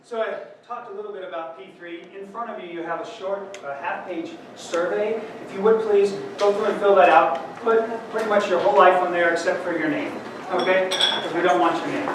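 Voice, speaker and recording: A man from Colorado speaks slowly to a conference crowd, dramatic and shifting between loud and soft. His voice sits a bit high. It is heard from a distance in a heavily echoing hall, with a steady hiss of noise under it. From Denver, Colorado. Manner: dramatic, slow, swings loud and soft, bursts into song. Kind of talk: spoken word poetry and talk. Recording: very echoey hall, from far off, noisy.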